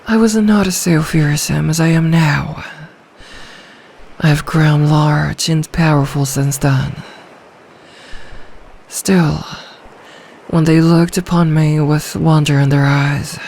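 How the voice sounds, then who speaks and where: A woman's voice: sits low, darkish tone, slight background noise, full character voice. A woman voicing an ancient, lonely, possessive sea dragon in human form, in a brooding, formal ASMR fantasy roleplay. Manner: slow, storybook-formal, brooding, lapses into breathy whispers.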